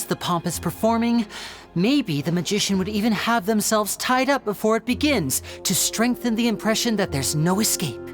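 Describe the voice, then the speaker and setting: A woman playing a poised stage magician in a video game, speaking in a theatrical, measured, confiding way. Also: mid-range voice, close to an everyday voice. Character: poised female stage magician explaining tricks and clues. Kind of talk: scripted video game voice acting.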